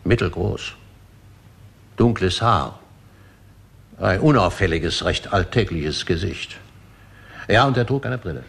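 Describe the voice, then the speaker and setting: A formal manservant from a sixties German radio crime play. His low voice is husky and gravelly, and he answers politely, in measured, deferential phrases. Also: animated, a bit bright, full character voice. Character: formal, deferential manservant giving evidence to police. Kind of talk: 1960s German radio crime drama acting.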